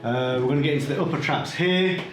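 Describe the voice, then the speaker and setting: A man's voice: on the high side, fast. A man who works as a myofascial therapist, explaining treatment to viewers in a chatty, jokey way while he works on a client. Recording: roomy, far from the mic, guitar music behind.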